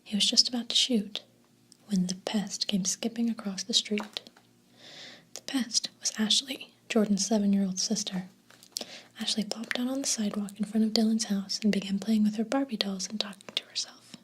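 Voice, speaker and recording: A woman reading a children's story aloud in a steady, soft whisper. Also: medium pitch, normal speed, slightly rough. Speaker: narrator.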